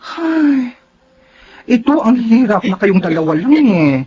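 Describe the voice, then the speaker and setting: A woman playing a caring, animated young cousin in a Filipino radio drama. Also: on the high side, slow, breathy edge, dull audio, full character voice. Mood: concerned.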